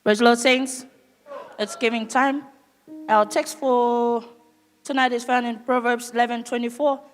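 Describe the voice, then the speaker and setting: A woman minister giving a steady, measured exhortation before the offering, reading scripture. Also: a little high. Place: church hall. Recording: long reverb of a church hall.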